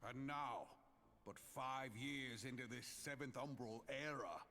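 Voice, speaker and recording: An actor playing a fiery, commanding military leader, proclaiming solemnly in grand fantasy game oratory. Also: male voice, mid-range voice, sharp tone. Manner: solemn, declamatory, measured proclamation. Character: fiery, commanding male military leader rallying his nation.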